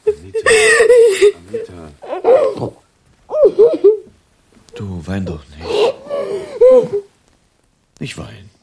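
An actor playing a gentle private detective comforting an old friend in a German audio drama, soft and sparing with words. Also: male voice, fairly low pitch, huge pitch swings, vocal fry, exaggerated voice. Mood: pained, consoling. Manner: soft, sparse, unhurried.

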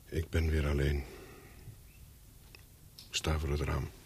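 An actor playing a lonely, brooding man who tells his own story in a radio play, deliberately and slowly. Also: rumbling low, little pitch movement, a little hiss or hum. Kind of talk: radio play monologue and dialogue.

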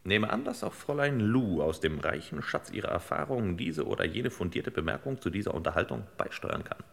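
Gravelly, animated hard-boiled detective boss. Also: male voice, fairly low pitch, a little fast, big-room sound. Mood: sardonic. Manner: commanding, sarcastically florid. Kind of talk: old German detective radio play acting.

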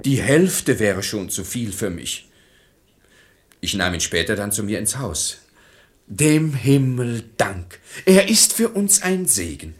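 An actor playing a gullible, pious, middle-aged master of the house in a German verse radio drama, theatrical and emphatic. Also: male voice, mid-range voice, fairly bright tone.

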